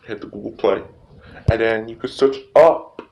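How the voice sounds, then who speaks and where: Low-voiced man, noisy tutorial recording. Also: creaky, darkish tone, lo-fi sound. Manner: informal, halting, repeats himself. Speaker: tutorial host. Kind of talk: casual screen-recording tutorial.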